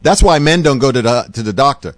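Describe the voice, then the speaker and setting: A middle-aged man hosting a radio call-in show, listening warmly to callers and then reflecting at length. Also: medium pitch, expressive, quick pace. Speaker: radio talk host.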